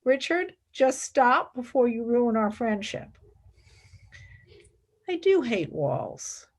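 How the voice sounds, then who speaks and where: A woman acting in a radio play as an idealistic, exacting young philosophy student, earnest and expressive. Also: fairly high pitch, slow pace, exaggerated voice. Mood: exasperated, wistful.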